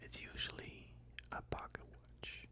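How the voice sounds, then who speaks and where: Very slow whispered ASMR hypnosis voice. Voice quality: whispered, breathy. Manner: soothing, deliberate, phrase by phrase. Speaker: host, hypnotist.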